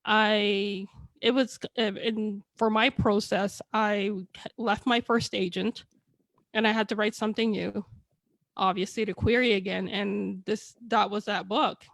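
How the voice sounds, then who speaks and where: A woman's voice: medium pitch, steady tone, a little slow, creaky, fairly bright tone, no room sound. A woman author whose first language is a Chinese dialect and Tagalog, telling her story in a candid, rambling way over a video call. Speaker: author guest. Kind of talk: casual video chat.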